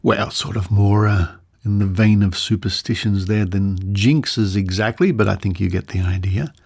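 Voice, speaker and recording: An Australian man, middle-aged or older, presenting a podcast and reading old newspaper articles aloud in a measured, storytelling way with asides. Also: fairly low pitch, gravelly, darkish tone, husky.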